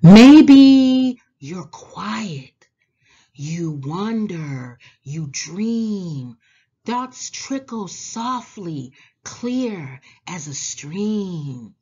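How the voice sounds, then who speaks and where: A woman reading a children's storybook aloud in a deliberate, animated manner, drawing out words and pausing. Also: medium pitch, slightly rough, dull audio. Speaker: children's book read-aloud host. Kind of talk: expressive storybook read-aloud for young children.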